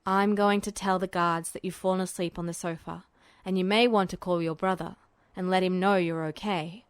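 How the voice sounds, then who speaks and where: A woman plays a weary officer in an audio story, his voice dropped low. He is dry and smirking, muttering his orders with unhurried authority, recorded close and dry. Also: steady tone, clear, resonant tone, dry recording, close to an everyday voice. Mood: matter-of-fact. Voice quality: deep voice. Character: smirking, commanding military man, dry and weary.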